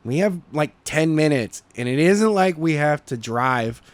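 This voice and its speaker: A man's voice: a little high, animated, measured pace, no room sound. A man reading the part of a casual, unbothered teenage boy in an audiobook story. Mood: nonchalant. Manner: nonchalant. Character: laid-back teenage boyfriend, casual and unbothered.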